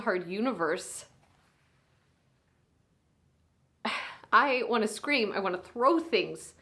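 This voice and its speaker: A woman performing a monologue as a weary, grieving big sister speaking at her younger sister's bedside, moving between hushed whispers and raised anger. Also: mid-range voice, lightly acted. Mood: frustrated.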